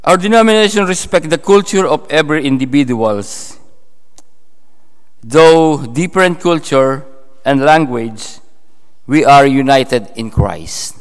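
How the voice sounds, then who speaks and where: A man from the Philippines with a Filipino accent, preaching a sermon in a halting way, full of fillers and restarts. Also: a little high, clear, resonant tone. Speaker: pastor. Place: church hall. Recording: long hall reverb.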